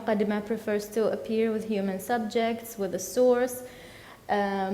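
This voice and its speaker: A woman's voice: medium pitch, darkish tone. Woman presenting in an echoing hall. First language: Arabic. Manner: halting, with many fillers and self-corrections. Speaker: conference presenter. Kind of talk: academic conference talk. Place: lecture hall. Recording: echoey hall with long reverb.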